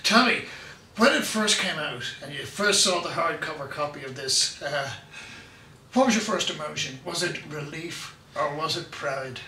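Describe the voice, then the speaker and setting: A man's voice: on the high side, lively intonation, moderate pace, sharp tone, reverberant, distant mic. A middle-aged Irish man hosting a radio interview, hesitant and full of filler words, in a hotel room. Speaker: radio show host.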